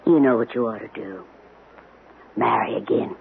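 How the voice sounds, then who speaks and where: An actress playing a bossy, plain-spoken housekeeper in a radio drama, with a folksy, sarcastic, no-nonsense manner. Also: female voice, extremely low pitch, expressive, normal speed, slightly rough, heavily acted. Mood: firm. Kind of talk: 1970s radio drama acting. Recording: tinny, noisy old radio broadcast.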